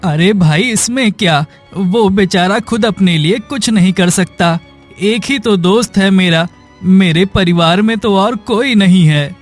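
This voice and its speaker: A man's voice: high-pitched, unhurried, faint music behind. A man voicing a poor, humble and devout village labourer in a cartoon, meek and earnest.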